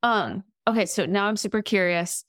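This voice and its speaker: A woman hosting a podcast in a raspy voice, curious and affirming as she asks questions. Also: mid-range voice, animated, normal speed, fairly bright tone, dry recording, clean studio sound. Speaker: podcast host. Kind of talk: casual podcast conversation.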